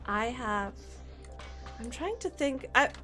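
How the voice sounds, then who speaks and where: Slow-talking woman streamer, slightly sore throat. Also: a little high, animated, a bit bright. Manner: rambling, thinks aloud, chats with viewers. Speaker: game streamer. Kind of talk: casual live-stream chat while playing. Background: faint game music.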